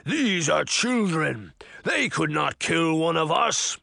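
Huge bellowing, menacing giant. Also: male voice, fairly high pitch, very animated, slow pace, vocal fry, sharp tone, no room sound, clean studio sound, voice pushed up, exaggerated voice. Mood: slow menace. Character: huge gnarled angry man-eating male giant. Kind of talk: audiobook character voice.

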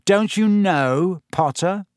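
A man voicing a malicious schoolboy bully in a storybook, taunting slowly in a quiet, sneering, breathy way. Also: medium pitch, no echo, clean studio sound, natural voice. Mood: sly, goading.

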